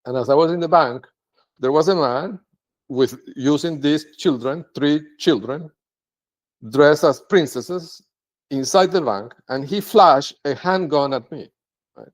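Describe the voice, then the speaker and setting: Slightly high-voiced man, native Spanish speaker. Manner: rambling, halting, tags sentences with "right?". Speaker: video blogger. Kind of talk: unscripted monologue to camera.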